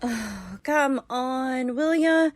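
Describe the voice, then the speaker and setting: A woman's voice: high-pitched, expressive, drawn-out words, no echo, exaggerated voice. A woman playing a defensive, daffy character in an audio comedy skit. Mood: indignant. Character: defensive, daffy young woman with an emotional support duck.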